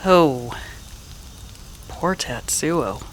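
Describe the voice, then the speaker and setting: A woman playing a calm, protective frontier woman with a hesitant, sympathetic manner in a Western radio drama. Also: sits low, extremely slow, slight background noise, exaggerated voice, poor audio.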